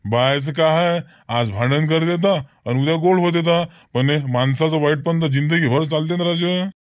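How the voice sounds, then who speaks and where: A man's voice: on the high side, normal speed, no room sound, studio quality, close to an everyday voice. A man voicing a village schoolmaster in a comic cartoon, chatting and reasoning in a folksy way with a rural Varhadi Marathi accent. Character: village schoolmaster chatting about local gossip.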